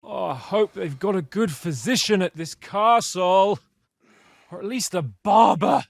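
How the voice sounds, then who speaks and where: Cocky young noble man from a medieval game, indignant n theatrical, high voice, super dry close sound. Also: measured pace, vocal fry, no echo, heavily acted. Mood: airy, opinionated. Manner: foul-mouthed, indignant, theatrical. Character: hot-headed, haughty young nobleman in medieval times. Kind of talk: video game character voice lines.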